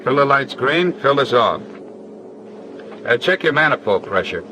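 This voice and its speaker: An actor playing a patient, matter-of-fact flight instructor in a 1940s training film, measured and firm. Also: male voice, medium pitch, very animated, pushed and bright. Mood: explanatory. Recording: 1940s film soundtrack with faint noise.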